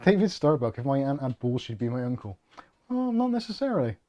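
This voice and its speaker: A man's voice: mid-range voice, big pitch swings, a little fast, mellow, highs cut off. A middle-aged British man hosting a casual livestream, rambling and joking as he reads out viewer comments. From United Kingdom. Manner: rambling, jokey, self-deprecating. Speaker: host of a tech channel livestream.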